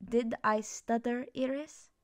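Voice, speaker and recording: Stern female magic professor for ASMR fantasy roleplay acted by a woman; measured and commanding. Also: a little high, fairly even intonation.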